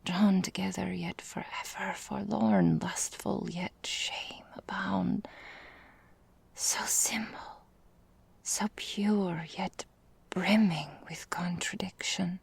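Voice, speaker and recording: A woman playing an ancient, possessive vampire mistress in an ASMR roleplay, speaking in a breathy, husky half-whisper with deliberate, formal phrasing. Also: lowish voice, steady tone, full character voice. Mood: wistful, possessive. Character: female vampire mistress, ancient, possessive, seductively menacing, melancholy. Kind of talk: ASMR roleplay, intimate dramatic monologue.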